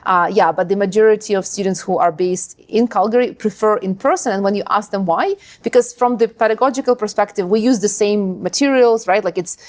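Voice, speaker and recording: Woman, from Ukraine, podcast guest, conversational, filler-heavy, medium pitch. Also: a little fast, hardly any pauses. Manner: chatty, many fillers and "right?". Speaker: guest, language school founder. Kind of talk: podcast interview.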